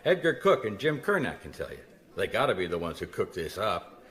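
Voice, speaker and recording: A man's voice: low-pitched, sing-song, fairly quick, a bit bright. An actor playing a laid-back, shrewd male private detective with a dry, plain-spoken manner in a radio mystery. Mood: confident. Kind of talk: radio mystery drama acting.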